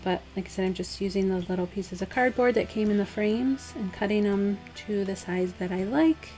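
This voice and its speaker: Slightly hoarse woman explaining a craft. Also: mid-range voice, moderate pace, faint music behind. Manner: relaxed, chatty, step-by-step instructions with fillers. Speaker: craft vlogger. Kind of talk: casual DIY tutorial voice-over.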